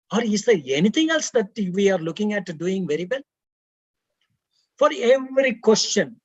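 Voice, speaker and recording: Indian-accented Tamil-speaking man on a webinar call, high lively voice, rhetorical questions, restarts often. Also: breathy edge, dry recording, highs cut off. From Karnataka, India. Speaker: webinar panelist. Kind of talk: earnest panel talk.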